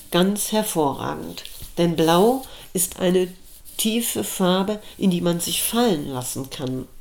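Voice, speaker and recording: A middle-aged woman reading her own reflective personal essay aloud, in a slow, measured and thoughtful way. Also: lowish voice, a little mellow. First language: German. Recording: roomy echo.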